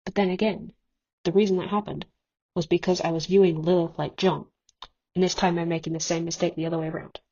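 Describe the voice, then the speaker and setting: A woman's voice: on the low side, level tone, fast, mellow. A woman giving prepared commentary as a listener's voice submission to a podcast. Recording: dull, lo-fi voice message.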